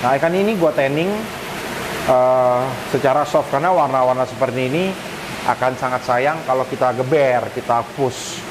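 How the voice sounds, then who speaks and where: A man's voice: medium pitch, normal speed. A man, explaining things informally to viewers as a fish-keeping vlogger. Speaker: arowana fish keeper and vlogger. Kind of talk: casual explanatory vlog talk. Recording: clear hissy background noise. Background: steady hiss.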